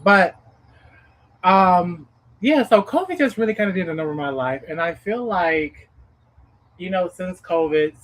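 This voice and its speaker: A man's voice: high voice, animated, measured pace, pauses often, fairly bright tone, poor audio. A man in his thirties hosting a casual livestream talk, reflective and earnest, often checking in with the audience. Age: 30s. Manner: reflective, earnest, rhetorical "okay?" check-ins. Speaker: livestream host.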